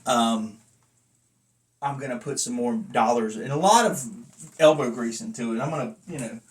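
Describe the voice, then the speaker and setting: A man with a middle-pitched voice gives an informal review as a hobbyist vlogger. He rambles conversationally and speaks bluntly. His manner is self-deprecating and unpolished, like someone talking off the cuff. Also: moderate pace. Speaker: vlogger and wrestling belt collector reviewing a belt. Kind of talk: casual, rambling review talk to camera.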